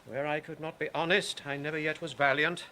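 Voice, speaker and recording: A principled noble commander in classical audio drama. His voice is cutting and forceful, formal and declamatory, over faint ambience. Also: fairly high pitch, steady tone, fairly quick, slight background noise. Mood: stern, formal.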